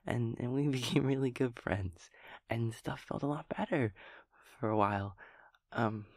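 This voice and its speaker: Audio roleplay, male actor as scatterbrained young male empathy daemon, guileless and sweet, halting delivery with gravelly fry, very dry close sound. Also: medium pitch, no echo. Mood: anxious, subdued. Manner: stammering, repeating words, breaking into giggles. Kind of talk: intimate audio roleplay monologue.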